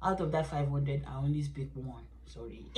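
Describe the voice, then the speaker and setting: A woman's voice: a little slow, heavy background noise. A young woman with a Nigerian accent, a native Yoruba speaker, chatting casually as a beauty vlogger and laughing often. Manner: chatty, laughs often, lively swings in pitch. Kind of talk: casual chat to camera.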